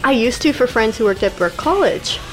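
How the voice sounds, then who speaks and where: A woman speaking as a guest in a casual chat, with a calm, even, monotone way of talking. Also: fairly high pitch, brisk, faint music behind, low-quality recording. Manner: calm, self-described monotone delivery.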